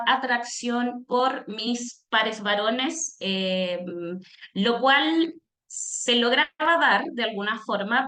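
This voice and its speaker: A woman with a Chilean accent giving a personal talk in a reflective, explanatory way, often pausing on filler sounds as she thinks. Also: medium pitch, fairly bright tone, roomy sound. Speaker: speaker, social worker giving a talk. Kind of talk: personal testimony in a presentation.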